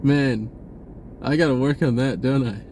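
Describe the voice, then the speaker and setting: A man speaking in a casual, rambling spiritual monologue, halting and drifting in an informal way. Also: medium pitch, darkish tone, slight background noise. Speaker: spiritual vlogger.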